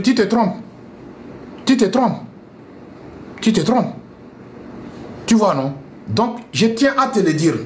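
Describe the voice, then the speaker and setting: A man's voice: sits high, reverberant, a little hiss or hum. A man ranting scornfully on a live stream, repeating himself and mixing French with a local language. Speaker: live streamer addressing viewers. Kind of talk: heated live-stream rant.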